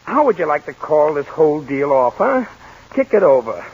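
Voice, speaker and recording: An actor playing a worried business partner who talks in a wheedling, uneasy way on a 1940s radio play. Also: male voice, a little high, sing-song, normal speed, breathy edge. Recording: thin, phone-like 1940s radio broadcast.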